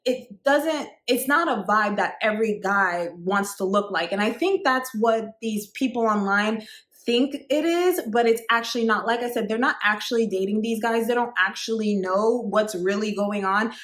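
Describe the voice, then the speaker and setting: A woman's voice: mid-range voice, normal speed, fairly bright tone, roomy sound. A woman in her thirties giving dating advice to women straight to camera, chatty and emphatic, with many fillers. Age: 30s. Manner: chatty, emphatic, repeats "like" and "actually". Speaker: dating coach. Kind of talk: direct-to-camera advice talk.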